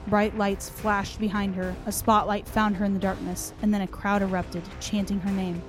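A woman storyteller reading scripted horror narration dramatically, in deliberate short phrases. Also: medium pitch, level tone, over music, poor audio.

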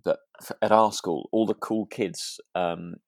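A man's voice: sits low, fairly even intonation, measured pace, dry recording. A British man talks as a podcast co-host in an easy, conversational style. His delivery is hesitant and meandering, and the sound is dry and close. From United Kingdom. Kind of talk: casual chat.

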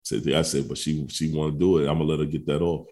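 A young man co-hosting a casual podcast, sounding stuffy and congested, laughing through crude banter and clearing his throat often. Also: a bass voice, little pitch movement, fast, mellow. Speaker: podcast co-host. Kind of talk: casual chat.

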